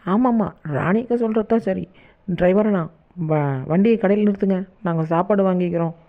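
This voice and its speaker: A woman's voice: medium pitch, a little fast, lightly acted. A woman voicing a cheery, coaxing cartoon village woman with a colloquial Tamil Nadu accent. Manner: colloquial, chummy endearments. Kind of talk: cartoon comedy skit dialogue. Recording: tinny phone-like narrow band.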